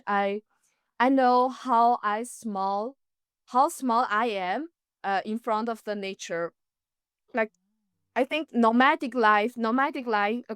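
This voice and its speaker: A young woman from Inner Mongolia, whose first language is Mongolian, speaks as a podcast guest. Her voice is very clear and crisp, with a dry, close sound. She pauses often on "uh" and laughs lightly between thoughts. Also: mid-range voice, no room sound. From Inner Mongolia, China. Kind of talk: casual interview talk.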